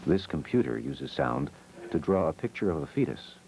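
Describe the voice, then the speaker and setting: A man narrating an educational film in a measured, explanatory manner, reading scripted short, simple sentences. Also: rumbling low, big pitch swings, gravelly, noisy background. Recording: old VHS educational film soundtrack. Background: music.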